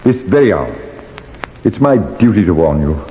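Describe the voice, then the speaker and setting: A man's voice: sits low, sing-song, moderate pace, slight background noise. A man playing a grave, fatalistic father and manor lord in old-time radio horror, pleading solemnly and melodramatically. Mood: dire warning. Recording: echoey, reverberant, muffled old radio recording.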